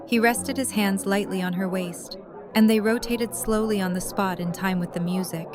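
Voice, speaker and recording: A woman's voice: medium pitch, steady tone, normal speed. Narrator: woman, mid-range voice, scripted story narration for audio drama, music underneath. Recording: music and party chatter behind. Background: music and crowd chatter.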